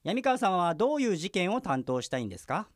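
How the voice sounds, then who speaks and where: A man's voice: high-pitched, sing-song, quick pace, no echo, clean studio sound. A man acting in a comic radio drama as a sarcastic, exasperated junior police detective, dutifully polite but slipping into insults. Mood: dry.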